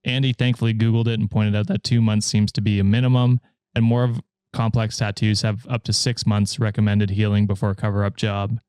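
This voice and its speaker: A man's voice: on the low side, brisk, clear, resonant tone, no echo. An American man hosting a podcast, reading Reddit stories aloud and chatting casually, laughing often. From United States. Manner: laughs often, relaxed storytelling with fillers. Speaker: podcast host.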